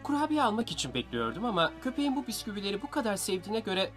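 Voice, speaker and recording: An actress playing a friendly adult bystander in a children's cartoon dub. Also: female voice, medium pitch, sing-song, very fast, music in the background, close to an everyday voice. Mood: pleased.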